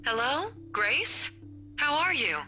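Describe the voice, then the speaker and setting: A woman's voice: high voice, animated, slow, bright, forceful tone, faint music behind, full character voice. A woman playing a middle-aged mother with a tired lilt in a visual novel game. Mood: warm, weary. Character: weary middle-aged mother calling from home.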